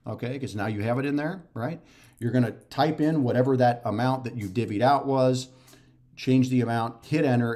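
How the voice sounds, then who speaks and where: A man's voice: medium pitch, a little mellow. A man in his forties giving a casual instructional talk to camera, going step by step and often checking in with "okay?" or "right?". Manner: repetitive step-by-step explaining with frequent "okay?" and "right?" check-ins. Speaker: fitness and nutrition coach. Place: living room at home.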